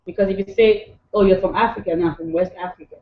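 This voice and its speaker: A woman's voice: on the low side, steady tone, fast. Woman from nigeria cohosting, upbeat, keeps things moving, muffled lo-fi sound. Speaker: co-host. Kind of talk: casual chat. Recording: echoey room, dull lo-fi sound.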